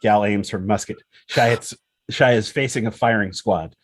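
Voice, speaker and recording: A man narrates the stage directions of a script. His voice is fairly low and husky. He reads the action dramatically, in short, punchy phrases. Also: moderate pace. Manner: punchy, present-tense action reading.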